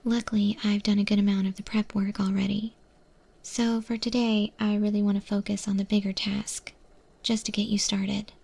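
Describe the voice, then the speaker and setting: A woman playing a patient monster woman who mentors a newcomer in a restaurant kitchen, in a hushed, breathy ASMR roleplay whisper. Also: mid-range voice, flat delivery. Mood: calm, instructive. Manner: slow, hushed, gently instructive.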